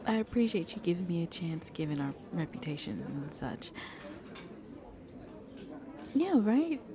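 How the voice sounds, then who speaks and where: A woman playing a shy, sweet vampire on a first date in an ASMR roleplay, soft-spoken and hesitant, often dropping to a whisper. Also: lowish voice, big pitch swings, unhurried. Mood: shy, warm. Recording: crowd chatter in the background. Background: crowd chatter.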